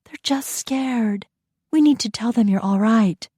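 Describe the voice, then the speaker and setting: A woman voicing a kind young woman gently comforting a child. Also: on the high side, a little slow, husky, no room sound, clean studio sound, pitched above the performer's own voice. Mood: soothing. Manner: soothing, soft.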